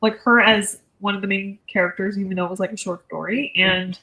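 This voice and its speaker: A woman discussing books casually, in a heartfelt, reflective way with halting phrasing. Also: mid-range voice, fairly even intonation, pushed and bright, rough recording. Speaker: book discussion panelist. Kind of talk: casual book chat.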